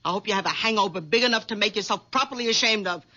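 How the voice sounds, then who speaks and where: Casting: bossy middle-aged scold, bright hard voice, old radio drama, dull lo-fi sound. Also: female voice, mid-range voice, quick pace. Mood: scornful. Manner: bossy, nagging. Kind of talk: 1970s radio drama acting. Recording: dull 1970s radio recording.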